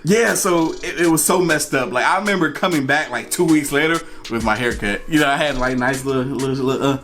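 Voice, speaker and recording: A man's voice: medium pitch, fairly quick, soft background music. An American man telling a personal story in a casual, conversational way, acting out bits of dialogue and repeating phrases for emphasis. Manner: animated retelling, acting out dialogue. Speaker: storyteller and vlog host.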